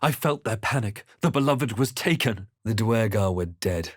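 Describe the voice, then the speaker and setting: A man playing a grave, watchful fantasy adventurer in a video game, narrating in the first person with measured, dramatic delivery. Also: fairly low pitch, animated, dry recording, clean studio sound. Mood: grave narration.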